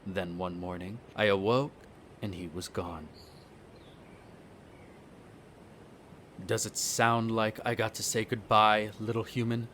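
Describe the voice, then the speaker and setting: A man acting a haughty, bitter centuries-old vampire noble in an audio roleplay, speaking in a formal, measured, condescending way. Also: fairly low pitch, level tone, pushed and bright, slight background noise. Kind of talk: scripted roleplay audio drama monologue.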